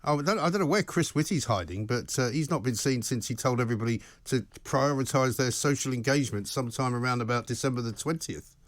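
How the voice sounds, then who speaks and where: Middle-aged studio host, brisk and wry, dry close mic. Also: male voice, medium pitch, no echo. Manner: brisk agreeing interjections, wry asides. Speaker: talk show host. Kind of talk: studio talk show interview.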